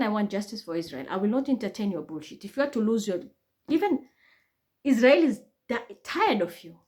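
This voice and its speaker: Blunt Kenyan woman ranting. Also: sits high. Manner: blunt, swears, rises to heated outbursts. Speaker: online commentator. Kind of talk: impassioned monologue.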